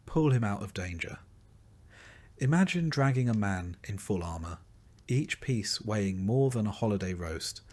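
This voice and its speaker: A man reading scripted narration in a measured, dryly wry storytelling manner. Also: fairly low pitch, a little mellow. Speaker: narrator of a history video. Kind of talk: scripted second-person narration.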